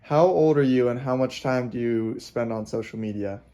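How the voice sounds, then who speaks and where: A man reading scripted documentary narration in an earnest, steady way. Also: medium pitch, moderate pace. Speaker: student narrator. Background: guitar music.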